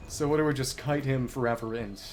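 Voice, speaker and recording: A man's voice: medium pitch, steady tone, brisk, darkish tone. A man doing live gameplay commentary as a streamer, talking analytically through tactics to himself. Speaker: game streamer. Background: music behind the voice.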